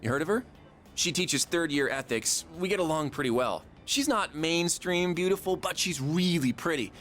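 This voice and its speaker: An actor playing an excitable, goofy high school slacker in a video game, slangy and melodramatic. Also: male voice, moderate pace, soft background music, natural voice. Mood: excited, scheming.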